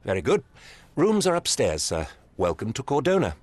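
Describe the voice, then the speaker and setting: An actor playing a polite, formal hotel receptionist in a video game. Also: male voice, mid-range voice, wildly swooping pitch, moderate pace, slight background noise. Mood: courteous. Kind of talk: video game cutscene voice acting.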